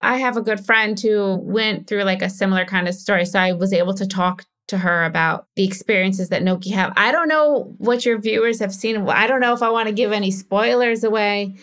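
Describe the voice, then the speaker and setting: A woman's voice: mid-range voice, steady tone, a little fast, hardly any pauses, a bit bright. An American woman who created and stars in a show, answering interview questions in a candid, chatty way with many filler words. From United States. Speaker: show creator and lead actor.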